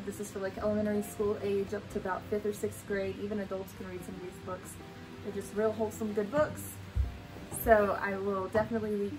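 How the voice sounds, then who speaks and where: A woman's voice: medium pitch, low-quality recording. Casual woman vlogger with music behind her. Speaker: homeschooling parent and vlog host. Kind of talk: casual family vlog chat, showing items to camera. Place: home room with bookshelf, indoors.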